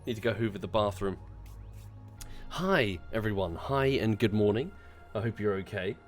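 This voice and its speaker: A man streaming, hoarse and congested after a chest infection, greeting chat politely and pausing to cough. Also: fairly low pitch, animated, soft background music. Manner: polite, chatty, pauses to cough. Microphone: desk microphone.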